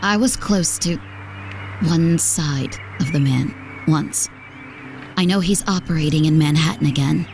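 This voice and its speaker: A woman's voice: deep voice, unhurried, darkish tone, slight background noise. A woman playing a guarded, weary former lover in a comic dub, curt and defensive.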